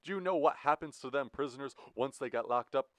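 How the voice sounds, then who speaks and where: A man doing a high, pleading scrawny thief. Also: dry recording, close to an everyday voice. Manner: high-pitched pleading, fast, faked Irish then drawl. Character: scrawny frightened young male car thief.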